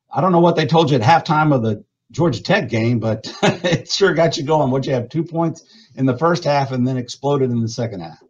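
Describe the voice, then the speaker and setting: A man's voice: medium pitch, a little mellow, breathy edge, lo-fi sound. A man hosting a live booster club interview, talkative and upbeat, introducing and questioning players.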